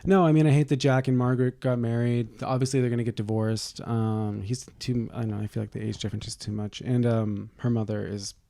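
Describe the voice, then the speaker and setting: A man's voice: medium pitch, little pitch movement, brisk, creaky, few pauses, mellow, no echo. A man co-hosting a podcast, bantering casually with an irreverent, gossipy, joking manner. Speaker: podcast co-host.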